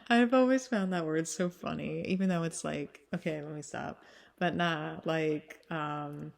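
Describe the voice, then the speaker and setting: A woman with a smoky, slightly hoarse voice, still getting over a cold, chatting casually to her followers with lots of fillers and frequent laughs. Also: deep voice, measured pace. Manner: chatty, full of fillers, laughs often, drifts back and forth. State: recovering from a cold, sinus congestion. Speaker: vlogger. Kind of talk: casual rambling chat to followers.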